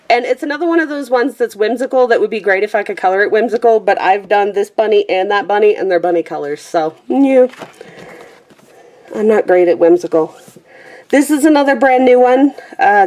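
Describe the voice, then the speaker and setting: A woman's voice: medium pitch, brisk, a little mellow. Chatty woman rambling about her books. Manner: chatty, self-deprecating, trails off with fillers and guesses at names. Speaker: vlogger showing her colouring book collection. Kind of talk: casual rambling chat to camera. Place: small room at home with a pet squeaking nearby.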